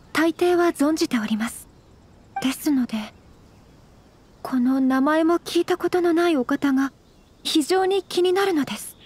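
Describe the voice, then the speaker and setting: Anime game role: earnest young noblewoman, high voice, modest, formal delivery, music underneath. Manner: formal, honorific, gently measured. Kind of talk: Japanese anime game voice acting.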